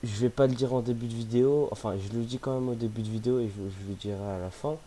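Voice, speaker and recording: A man's voice: medium pitch, steady tone, fast, mellow, a little hiss or hum. Hesitant young man with a cold. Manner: hesitant, many fillers and restarts. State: has a cold, sniffly. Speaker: gaming youtuber. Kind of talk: casual vlog chat.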